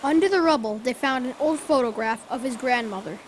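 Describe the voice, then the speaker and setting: A woman's voice: high-pitched, a little fast, slight background noise, close to an everyday voice. A young girl narrating a radio drama from a script in dramatic short phrases. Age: child, about 10.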